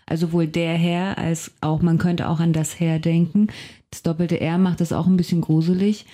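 A woman's voice: lowish voice, little pitch movement, normal speed, mellow. A woman whose first language is Russian, a novelist and translator, speaking as a guest in a radio studio interview and thinking aloud with care as she searches for words. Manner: thoughtful, reflective, searching for words.

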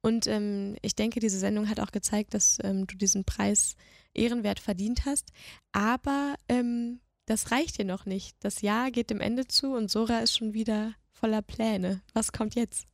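A woman hosting a radio interview in a measured way, pausing mid-sentence as she phrases questions and summaries. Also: medium pitch, steady tone, vocal fry, no echo, clean studio sound.

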